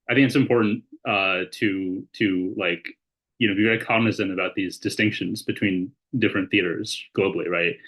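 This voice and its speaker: Podcast guest, guy with a lowish voice, measured and explaining stuff. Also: fairly bright tone, low-quality recording. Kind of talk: casual discussion, reading quotes.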